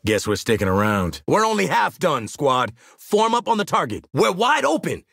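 An actor playing a tough, cocky American hitman in a video game, with a gravelly, husky voice and a clipped, sardonic, commanding delivery. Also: fairly high pitch, wildly swooping pitch, normal speed, a bit bright, no room sound, clean studio sound, heavily acted. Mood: dry, confident. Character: tough, cocky, no-nonsense hitman and squad soldier. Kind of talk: video game combat barks and banter.

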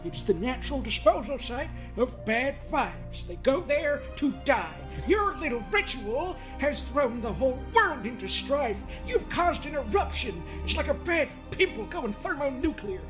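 Woman as a theatrical, smug male therapist, swooping pitch. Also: sing-song, unhurried, hardly any pauses, rough recording. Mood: exasperated lecturing. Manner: theatrical, rambling, folksy, mock-stern. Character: smug, scolding middle-aged male therapist of the universe. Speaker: fan dub voice performer. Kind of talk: improvised comedic game fan dub. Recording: music under the voice.

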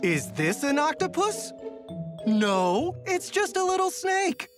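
A woman's voice: high voice, very animated, takes it slowly. A woman narrating a children's storybook read-aloud in a playful, emphatic storytelling manner. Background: music under the narration.

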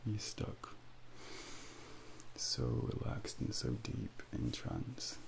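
Young male for ASMR sleep hypnosis: soothing Devil, breathy half-whisper, heavy vocal fry, slow deliberate delivery, close-miked over faint ambient noise. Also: mid-range voice, wildly swooping pitch. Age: young adult. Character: calm, soothing Devil guiding a soul into sleep. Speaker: ASMR role-play performer. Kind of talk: ASMR soft speaking, guided sleep hypnosis. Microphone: close binaural microphone.

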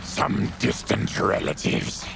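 An actor playing a stern, honorable fanged mutant warrior in a video game, terse and solemn, in a gravelly growl. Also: male voice, slow pace, heavy background noise, natural voice. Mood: grave, guarded. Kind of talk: dramatic game cutscene acting.

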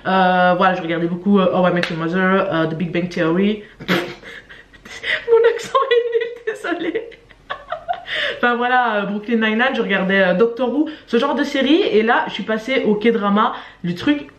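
A woman's voice: medium pitch, fairly quick, few pauses. A young adult woman chatting casually and expressively to camera in an informal vlog, often stressing her words. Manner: informal, expressive, emphatic repetition. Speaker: YouTube vlogger presenting to camera. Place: small room at home. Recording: roomy indoor echo.

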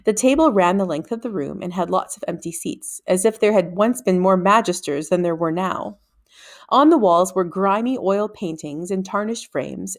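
A middle-aged woman narrates a children's novel. Her voice sits a little low for a woman. She reads deliberately, leaving small pauses. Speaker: read-aloud narrator. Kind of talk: children's novel read aloud. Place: small room at home, indoors. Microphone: desktop microphone in front of her.

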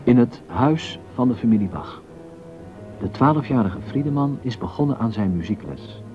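A man's voice: fairly low pitch, lo-fi sound, lightly acted. A man narrating a historical docudrama in a scripted style, as its storyteller.

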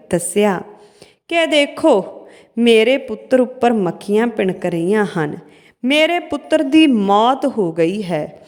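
A woman narrating a folk tale as a storyteller, in a measured, halting delivery. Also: fairly high pitch. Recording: long hall-like reverb.

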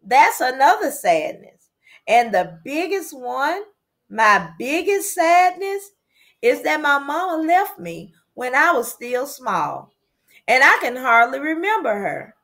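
A woman reads the lines of a lonely, curious young girl from a children's book. She is earnest and plain-spoken. The delivery is slow, with a wide, lively range of pitch. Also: heavily acted. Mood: sad, confiding. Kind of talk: storybook character read aloud.

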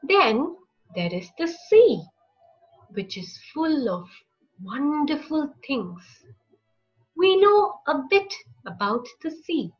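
A woman narrating a picture book for young children, with deliberate, expressive phrasing and short pauses. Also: high voice, highs cut off. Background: music.

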